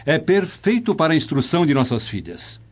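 A man's voice: a little high, sing-song, a little fast, breathy edge, muffled recording. A man playing a proud, admiring Roman nobleman and father in a radio drama.

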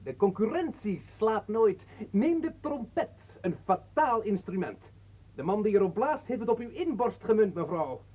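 A flattering, touchy entertainer in an old radio play. His unctuous, theatrical voice is high and very lively, with a narrow phone-like sound. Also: normal speed, slightly rough, full character voice. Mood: slighted, warning. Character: flattering, easily slighted showman and entertainer. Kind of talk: radio drama acting.

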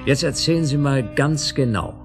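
An actor playing a deliberate, inquisitive male police inspector in a German radio drama, musing and intrigued. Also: on the high side, close to an everyday voice. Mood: intrigued, probing. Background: plucked guitar music.

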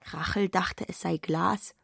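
A woman's voice: fairly low pitch, fairly even intonation, slow pace, vocal fry, fairly bright tone, slightly rough, no room sound, studio quality. A woman reads literary narration for a radio play. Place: dry radio studio.

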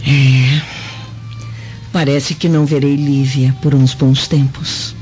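Mellow, gentle Roman matron. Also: female voice, very deep voice, little pitch movement, takes it slowly, faint music behind. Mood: wistful. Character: gentle, devoted Roman senator's wife. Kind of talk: dramatized audio novel acting. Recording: dull lo-fi radio drama recording.

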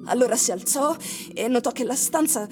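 A woman giving dramatic, literary radio narration with deliberate, suspenseful phrasing. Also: a little high, expressive. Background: music.

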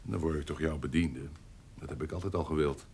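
Husky, sly, corrupt courtier. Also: male voice, rumbling low, brisk, a little mellow, heavily acted. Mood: sly, mocking. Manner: slippery, insinuating, drops to murmurs. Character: sly, corrupt, evasive privy councillor of an 18th-century court. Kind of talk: radio drama acting.

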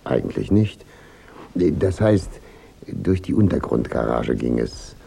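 Very deep, gravelly henchman. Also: sing-song, slow, dark tone, heavily acted. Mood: offhand. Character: gruff male henchman of a crime boss. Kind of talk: German radio crime drama acting.

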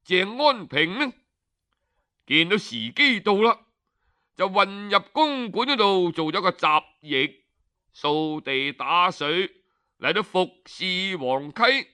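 A man from telling a story in an expressive, colloquial oral storytelling style, drawing out his phrase endings. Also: sits high. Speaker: storyteller, audiobook narrator. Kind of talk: traditional Cantonese oral storytelling narration.

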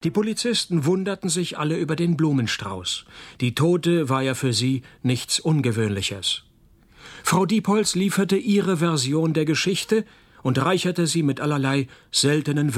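An actor playing a wry, world-weary private detective in a radio crime comedy, deadpan and sardonic. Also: male voice, on the high side, unhurried. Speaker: detective and narrator. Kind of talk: radio crime comedy, first-person noir narration.

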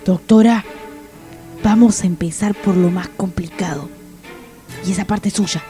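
An actress with an Argentine accent playing a stern, bossy police sergeant, speaking with deliberate authority in a comic radio drama. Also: female voice, mid-range voice, fairly even intonation, warm tone, faint music behind, close to an everyday voice. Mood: cold, demanding. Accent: Argentine Spanish.

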